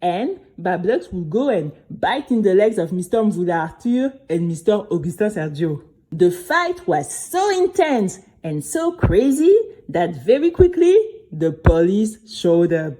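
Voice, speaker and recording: A woman's voice: medium pitch, a little slow. A woman playing a spirited young girl who recounts her adventure to friends in a chatty, dramatic first-person audio story. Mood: excited, dramatic. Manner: animated, chatty, addresses listeners directly.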